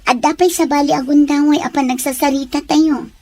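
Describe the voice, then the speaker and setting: Brief: a dutiful young daughter, high voice, respectful and soft-spoken. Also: female voice, steady tone, a little fast. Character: young woman returning to her studies, dutiful daughter. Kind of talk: radio drama acting.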